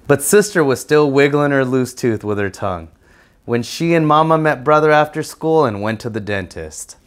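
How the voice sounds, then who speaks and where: A man's voice: medium pitch, animated, moderate pace. A man narrating a children's storybook aloud as a guest reader.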